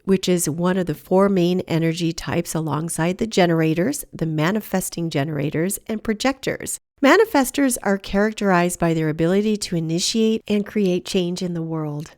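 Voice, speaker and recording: A woman's voice: on the low side, normal speed, few pauses, a little mellow, no echo, studio quality. A woman hosting a podcast, a little sniffly from a cold, who reads an earnest, enthusiastic introduction and then moves into casual interview chat. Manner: earnest, enthusiastic, with a few fillers and restarts. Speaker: podcast host.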